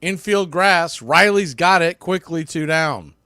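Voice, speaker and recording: A man's voice: a little high, unhurried, pushed and bright, clear, resonant tone, no echo, clean studio sound. A man doing live baseball play-by-play in clipped short phrases, with casual asides.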